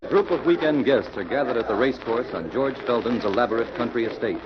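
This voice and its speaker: A man's voice: mid-range voice, expressive, fast, music in the background, lightly acted. A man playing a dramatic, emphatic announcer on a 1940s radio crime drama. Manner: emphatic, theatrical. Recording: noisy, muffled old radio recording.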